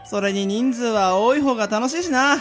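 A man's voice: extremely high pitch, moderate pace, a bit bright, soft background music. A man acting a breezy, mischievous young prankster, teasing and nonchalant, in an amateur radio drama. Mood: breezy, sly.

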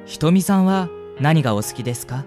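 A man's voice: on the high side, expressive, a little fast, a little mellow, soft background music, lightly acted. A man acting a polite, shy and smitten young college student in a radio drama, speaking and narrating earnestly. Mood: polite, eager.

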